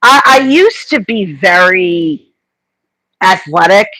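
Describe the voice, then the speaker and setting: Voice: mid-range voice, expressive, takes it slowly, bright, forceful tone, dry recording, low-quality recording. A middle-aged guest on a casual livestream chat, speaking hesitantly with frequent stammers and restarts. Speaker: podcast guest.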